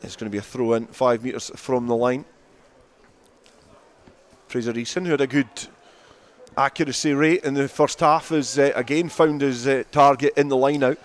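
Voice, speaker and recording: A man's voice: mid-range voice, steady tone, moderate pace, long pauses. A man giving live play-by-play rugby commentary from the ground.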